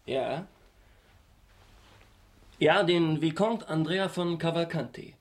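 An actor in a German radio drama playing a young impostor viscount, eager, slippery and ingratiating, with a slightly hesitant delivery. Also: male voice, fairly high pitch, slow pace. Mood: careful, probing.

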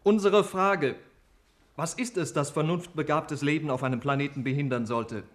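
A man's voice: fairly high pitch, moderate pace, close to an everyday voice. A man playing an eager, practical scientist who explains things precisely in a 1950s German radio drama. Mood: keen. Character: male scientist, eager, practical problem solver.

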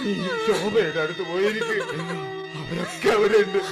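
A man's voice: sits high, moderate pace, loud music behind the voice, close to an everyday voice. An actor playing an anxious father who searches for his children after a disaster in a radio play. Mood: distressed. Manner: pleading, distressed, comforting.

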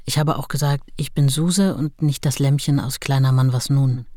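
An actress playing a writer's devoted, gently firm wife in a German radio play, speaking in a measured, plain way. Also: female voice, very deep voice, steady tone, no echo, studio quality. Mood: gently insistent. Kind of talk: German radio play, first-person recollection and letters.

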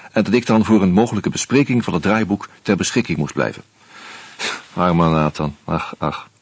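A man narrating a radio drama in the first person, measured and reflective. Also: low voice, breathy edge, no room sound.

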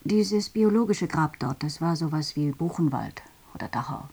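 A woman playing a sober witness in a radio drama, recounting in a plain, subdued way with a husky voice. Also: sits low, animated, normal speed, warm tone, full character voice. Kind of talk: testimony monologue in a radio drama.

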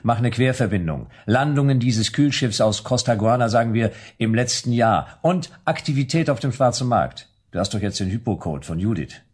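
An actor playing a wry, laconic private detective, narrating in a dry hard-boiled manner for a German radio play. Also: male voice, medium pitch, expressive, normal speed, few pauses, a little mellow, breathy edge, dry recording. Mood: brisk, familiar.

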